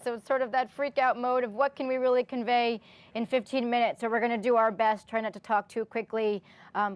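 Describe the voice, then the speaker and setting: High-voiced woman presenting informally at a conference. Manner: conversational, frequent "right?" check-ins.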